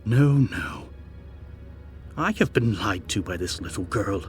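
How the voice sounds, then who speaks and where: A man's voice: on the low side, lively intonation, takes it slowly, soft background music. A man playing an unhinged, furious and self-pitying corrupt older man, snarling with theatrical menace in an audio drama. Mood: bitter, wounded rage. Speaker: voice actor in a fan audio drama. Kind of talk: dramatic villain acting.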